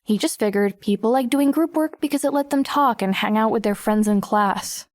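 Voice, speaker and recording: A woman's voice: fairly high pitch, moderate pace, dry recording, close to an everyday voice. Woman as a wry teenage girl narrator, dry recording. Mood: wry, reflective. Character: wry, self-conscious teenage girl narrating her story. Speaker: lead narrator. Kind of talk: first-person audiobook narration.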